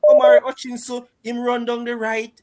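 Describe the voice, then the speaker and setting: A Jamaican man arguing about football in a forceful, emphatic way. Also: extremely high pitch, completely flat delivery, brisk. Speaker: panelist. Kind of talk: casual football debate.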